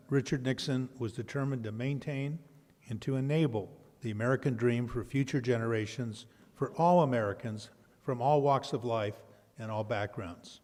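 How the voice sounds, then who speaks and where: A man giving a measured, scripted introduction of a guest speaker. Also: mid-range voice, a little mellow, in a large hall. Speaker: master of ceremonies.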